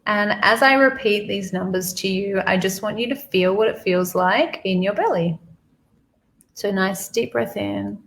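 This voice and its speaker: A woman guiding a meditation slowly and soothingly, repeating phrases with pauses, in a creaky, gravelly voice. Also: mid-range voice, fairly bright tone, slight background noise. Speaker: guided meditation leader. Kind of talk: guided money meditation, spoken softly to the listener.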